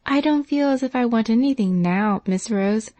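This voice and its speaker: A woman's voice: fairly high pitch, moderate pace, warm tone, no echo, pitched above the performer's own voice, natural voice. A woman voicing a humble, grateful poor servant girl with plain, homely speech. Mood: earnest.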